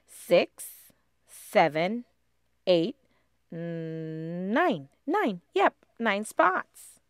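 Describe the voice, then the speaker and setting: A woman voicing a cheerful young female ladybug friend in a storybook, with a high squeaky voice. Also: lively intonation, drawn-out words, dry recording, studio quality, heavily acted. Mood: patient.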